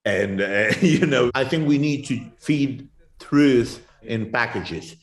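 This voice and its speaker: A man's voice: mid-range voice, slow. Echoey Lithuanian-accented male interviewee. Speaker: activist interviewee. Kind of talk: spontaneous interview answers. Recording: echoey room.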